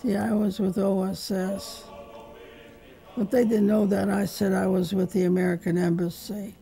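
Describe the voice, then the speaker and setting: An older woman with a gravelly, mellow voice reminisces in a flat, plainspoken way. She is interviewed in a living room, with soft music playing underneath. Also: medium pitch, normal speed. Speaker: interviewee, veteran. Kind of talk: documentary interview recollection.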